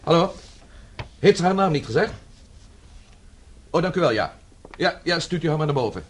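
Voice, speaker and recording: A man's voice: on the high side, expressive, normal speed, slightly rough. An actor playing an earnest, resolute man, polite but firm, in a radio drama. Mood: courteous. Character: earnest, brisk, resolute son-in-law, a man.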